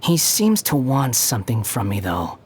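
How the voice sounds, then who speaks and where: Young male game character, arrogant bitter puppet, slightly breathy husky voice, mid pitch, dry and condescending. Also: very animated, normal speed. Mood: bitter, sarcastic. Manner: condescending, sharp-tongued, theatrical, dry scoffing delivery. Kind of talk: video game character voice lines.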